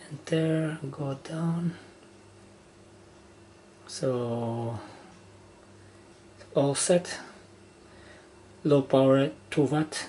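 A man giving a casual, halting step-by-step demonstration of equipment in short phrases, as a hobbyist. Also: medium pitch, a little mellow. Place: indoor room with wooden surface. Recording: noisy handheld camera recording indoors.

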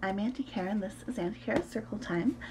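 A woman in her thirties reading a picture book aloud to preschoolers in an expressive, sing-song way, as host of a story time. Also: mid-range voice, fast talker, a little hiss or hum. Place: small room at home, indoors.

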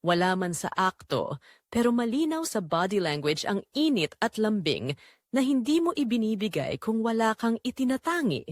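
A woman narrates a scripted audio drama at a medium pitch that moves with a lot of expression. She delivers it in short, measured phrases that build suspense. The recording is dry, with very little room sound. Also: very animated, dry recording.

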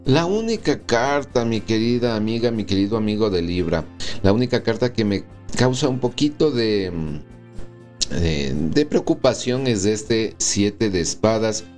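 A man's voice: fairly low pitch, lively intonation, a little slow, faint music behind. A man in his forties giving a weekly tarot horoscope, often checking in with the listener through short tag questions. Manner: warm reassuring, checks in with "¿sí?" and "¿ok?". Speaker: tarot reader. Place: small indoor room with plants and wood blinds.

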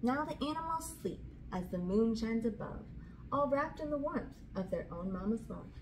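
A woman's voice: a little high, expressive, normal speed. A woman in her thirties hosting a children's read-aloud, reading storybooks and chatting casually, recorded indoors on a camera at about arm's length. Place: small indoor room with curtain backdrop. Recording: clear background room noise.

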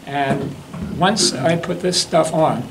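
A man in his seventies giving an informal demonstration talk, halting and anecdotal as he rambles along. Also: a little high, rough recording. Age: 70s. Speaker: guest demonstrator and hobbyist. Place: classroom lecture room. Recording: faint room noise.

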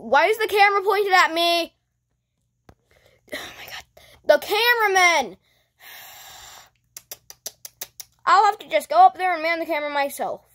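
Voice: very high-pitched, fairly quick, long pauses, pushed and bright. A child voicing a cheeky little creature who works as cameraman on a cartoon show. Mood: exasperated. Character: cheeky Grookey cameraman of a cartoon interview show, eager for drama.

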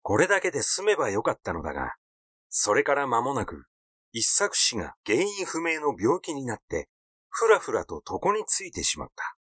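Expressive male story reader, dry close sound. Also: mid-range voice, normal speed, husky, no echo. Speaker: audiobook reader. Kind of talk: scripted literary narration, reading a story aloud.

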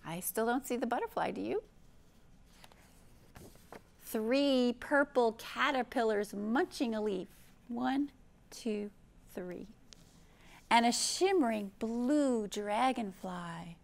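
A woman in her fifties leads a storytime for small children in an unhurried, fairly high voice with a creaky edge. She is gentle and expressive, keeping phrases simple and putting questions to her young listeners. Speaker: children's librarian hosting storytime. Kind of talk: read-aloud storytime for young children, with chat and song. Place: indoor library program room.

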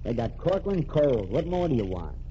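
A man's voice: medium pitch, sing-song, fairly quick, dark tone, lightly acted. A man playing a glib scheming newspaperman. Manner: glib, dismissive and patronizing. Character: smooth scheming male newspaperman, falsely friendly. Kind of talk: 1940s radio acting. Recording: dull lo-fi old radio recording with faint hiss.

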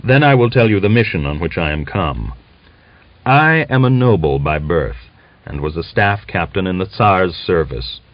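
A man's voice: sits low, lively intonation, moderate pace, no echo. A man voicing an earnest, anxious, formal army officer in an audiobook novel. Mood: earnest, persuasive. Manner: formal, emphatic, persuasive. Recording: dull lo-fi audiobook recording.

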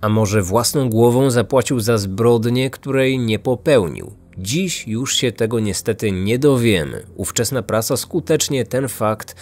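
A man's voice: mid-range voice, measured pace, hardly any pauses, a little mellow. A man narrating a scripted true-crime podcast as its host, in a grave storytelling manner.

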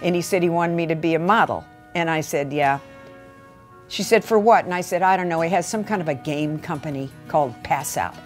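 A woman's voice: low-pitched, a little fast, soft background music. An American woman in her sixties telling a personal anecdote in an interview, acting out the dialogue. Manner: animated storyteller, quoting dialogue. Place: furnished living room. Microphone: lapel microphone.

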